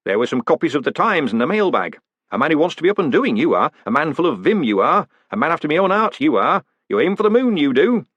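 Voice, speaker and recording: A man's voice: a little high, big pitch swings, fast talker, fairly bright tone, husky, no echo, lo-fi sound, voice pushed up, full character voice. A male audiobook reader plays a short, bird-like mayor of a provincial town. He is nervous yet chummy, speaking in folksy, deferential phrases. The voice sits raised and swings widely, in a very dry recording. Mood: chummy, eager. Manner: folksy, deferential, repetitive colloquial grammar.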